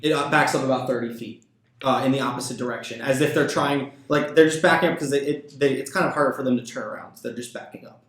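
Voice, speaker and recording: Hesitant game master in a very echoey room. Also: male voice, medium pitch, fairly even intonation, fast, a bit bright. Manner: hesitant, many fillers. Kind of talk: running a tabletop game.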